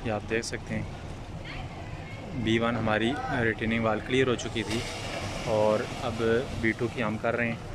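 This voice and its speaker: A man walks through an outdoor construction site, explaining the work slowly and plainly while filming on his phone. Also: on the low side, flat delivery. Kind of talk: site walkthrough narration. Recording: outdoor site noise behind.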